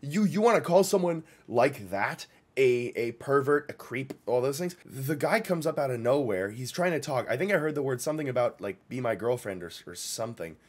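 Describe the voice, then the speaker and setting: A man's voice: medium pitch, expressive, a little fast. A young man giving casual, blunt, slangy reaction commentary with an exasperated manner. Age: young adult. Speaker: reaction video host. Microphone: boom microphone close by.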